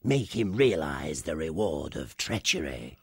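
A man's voice: very deep voice, big pitch swings, dry recording, studio quality, full character voice. A man voicing a cruel, menacing male wildcat warlord in a storybook reading, speaking with silky, mocking menace. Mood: cold. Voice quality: gravelly, husky, slow and deliberate.

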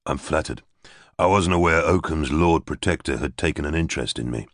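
A man's voice: rumbling low, a little fast, no echo, studio quality. A man voicing a tough ex-army psychic in an audiobook, curt and menacing, with occasional swearing. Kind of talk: audiobook character voice.

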